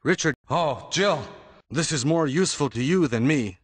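A man's voice: on the high side, expressive, normal speed, close to an everyday voice. A man playing an earnest, protective policeman in a video game parody, with stilted, overdramatic delivery. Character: earnest gruff male action-game policeman, melodramatic protective partner. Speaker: amateur voice parody performer. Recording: long hall-like reverb.

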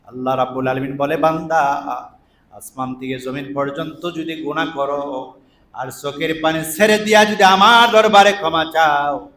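A man preaching a story sermon, swinging between dramatic drawn-out calls and pleading phrases. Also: on the high side, steady tone, fairly bright tone, slight background noise. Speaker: Islamic preacher. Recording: echoing PA-style sound.

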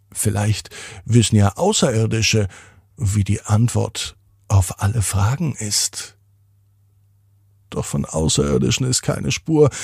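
Slow, creaky-voiced man telling bedtime stories. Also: on the low side, dry recording, clean studio sound. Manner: gentle, deliberate, addressing children directly. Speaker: children's podcast host and bedtime storyteller. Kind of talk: bedtime story narration for children.

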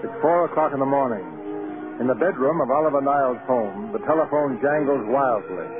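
A man's voice: mid-range voice, fairly quick, over music, natural voice. A male narrator setting scenes in vintage radio drama style on a tinny old broadcast.

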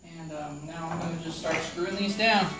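A man's voice: on the high side, normal speed, distant mic, music in the background. A middle-aged man casually explaining a woodworking build in his garage workshop, with plenty of ums. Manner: relaxed, explanatory, frequent ums. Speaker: DIY vlogger. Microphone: camera mic from a distance. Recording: echoey garage, off-mic.

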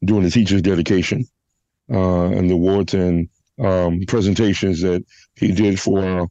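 A man hosting a church podcast, hoarse from a flu, making announcements and leading a prayer with halting restarts. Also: deep voice, steady tone, dark tone, no echo, lo-fi sound. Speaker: podcast host. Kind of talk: casual church podcast chat.

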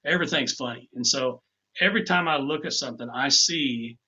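An older man talks casually through a demonstration over a video call, loose and unhurried, often repeating himself. His voice is bright. The sound comes through a muffled, lo-fi webcam mic in a home office. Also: mid-range voice, husky. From Tennessee. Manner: easygoing, repeats words as he goes. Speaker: woodcarving instructor. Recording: dull lo-fi video call audio.

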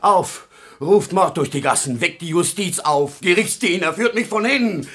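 An actor playing a cornered, scheming villain in a 1950s German radio play, sneering and frenzied. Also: male voice, high-pitched, lively intonation, moderate pace, fairly bright tone. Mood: defiant, vengeful. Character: scheming male villain secretary, cornered, wildly vengeful.

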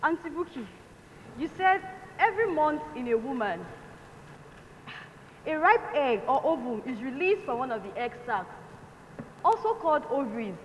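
An actress plays an anxious Nigerian girl of nineteen, a university student in a stage drama. Her high voice swings expressively in a reverberant hall. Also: big pitch swings, normal speed, long pauses. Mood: anxious, regretful. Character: anxious nineteen-year-old pregnant female student. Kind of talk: stage play dialogue. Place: indoor theatre stage set. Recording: reverberant hall, faint noise.

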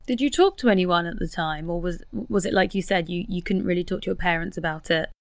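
A woman hosting a podcast interview who asks calm, short questions. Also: fairly low pitch, fast talker, no room sound, clean studio sound. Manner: calm, brief prompts and backchannels.

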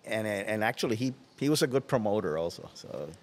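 An older Puerto Rican man with a Spanish accent talks at a low pitch, easy and unhurried, his voice ringing with a long reverb in a big indoor shop. He tells his stories in a relaxed way, and his words drop off softly at the ends of phrases. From Puerto Rico. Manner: relaxed, reclining, trailing off into soft low asides. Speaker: guest. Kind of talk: casual interview. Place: large watersports shop, indoors. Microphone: headset.

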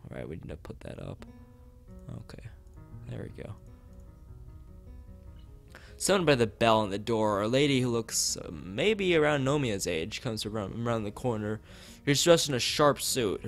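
Man, lowish pitch, game streamer, reading aloud, rambling chat asides, background music. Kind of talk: live stream commentary mixed with reading aloud.

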